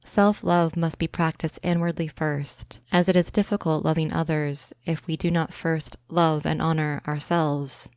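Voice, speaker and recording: A woman narrating a scripted guided imagery meditation, slow, soft and soothing. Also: deep voice, fairly even intonation, clear, resonant tone, no echo, clean studio sound.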